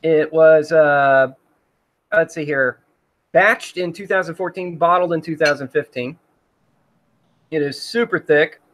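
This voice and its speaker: A man's voice: a little high, a little slow, pauses often, fairly bright tone. A man hosting a casual livestream, rambling with many fillers while he reads out chat comments. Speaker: host.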